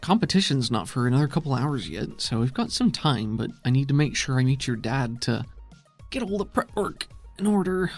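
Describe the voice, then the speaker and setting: A man's voice: medium pitch, big pitch swings, moderate pace, creaky, faint music behind. A man voicing an apprehensive, supportive woman friend in a tabletop game, earnest and anxious.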